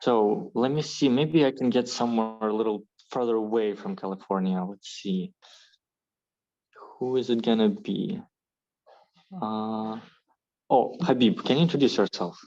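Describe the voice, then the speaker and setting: Club president from ukraine opening a video call, kinda low voice, lots of uhs, muffled lo-fi audio. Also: male voice, unhurried, darkish tone. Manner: hesitant, friendly, many fillers. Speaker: club president hosting the meeting. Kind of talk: online meeting host chat.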